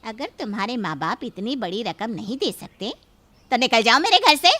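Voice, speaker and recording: A woman's voice: on the high side, sing-song, moderate pace, bright, forceful tone, exaggerated voice. A woman playing a domineering, greedy middle-aged mother-in-law in a cartoon story, haughty and threatening as she scolds. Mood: scolding, threatening. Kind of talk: Hindi cartoon story acting.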